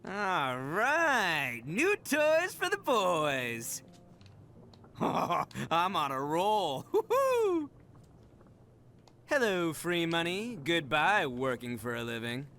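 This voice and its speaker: A man's voice: on the high side, wildly swooping pitch, drawn-out words, bright, forceful tone, heavily acted. A man voicing a boisterous, cocky, carefree young man in a video game.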